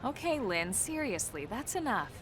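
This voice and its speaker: An actress playing a composed, level-headed female soldier and mentor in a video game, measured, matter-of-fact and gently reproving. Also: on the high side, big pitch swings, background noise. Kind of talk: video game cutscene acting.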